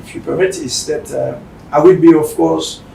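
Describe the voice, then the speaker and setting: A Belgian man with a French accent speaks haltingly in a semi-formal address. His slightly high voice has a gravelly creak, and it is picked up in a roomy space. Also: steady tone, dark tone. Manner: halting, hesitant non-fluent English. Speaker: company managing director. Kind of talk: semi-formal presentation speech. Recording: roomy, distant mic with faint rumbling noise.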